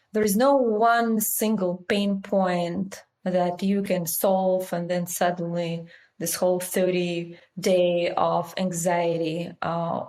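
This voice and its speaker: A woman's voice: mid-range voice, a little mellow. A woman from Russia with a Russian accent, a company founder confidently explaining her work in an interview. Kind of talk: business interview.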